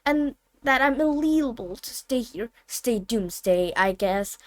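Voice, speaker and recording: A woman's voice: a little high, animated, a little slow, no room sound. Woman playing a cross, sardonic bedridden man. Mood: grumbling. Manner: curt, grumbling, sardonic. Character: cross, bitter bedridden man, grimly sarcastic. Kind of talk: storybook character voice.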